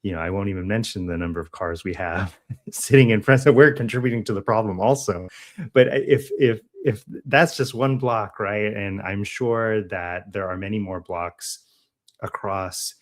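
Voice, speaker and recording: An American man co-hosting a podcast, casually talking through news in a halting way, correcting himself as he thinks aloud. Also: lowish voice. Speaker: podcast co-host.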